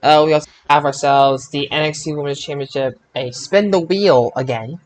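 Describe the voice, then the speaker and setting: Halting male podcast host, casual chat. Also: a little high, a little hiss or hum. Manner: halting, stammering restarts. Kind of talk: casual wrestling review chat.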